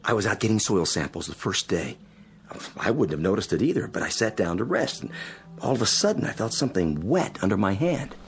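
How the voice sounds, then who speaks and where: Lively, cocky space explorer. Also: male voice, lowish voice, fairly quick, faint music behind. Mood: showing off. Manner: teasing, boastful, eager. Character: cocky male space explorer showing off his secret discovery. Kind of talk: radio drama acting.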